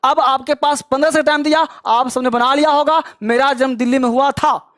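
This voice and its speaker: A man runs an online English class, quizzing students at a brisk clip. He teases and scolds along the way. His voice sounds dry, with no room echo. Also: extremely high pitch, fairly even intonation, fairly bright tone, soft background music. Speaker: English teacher. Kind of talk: online spoken English quiz lesson.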